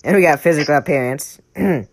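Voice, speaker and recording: A man's voice: on the high side, fast, creaky. A young man with a Maine accent hosting a casual chat among friends, rambling and joking and laughing often. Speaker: podcast host. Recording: noisy home recording.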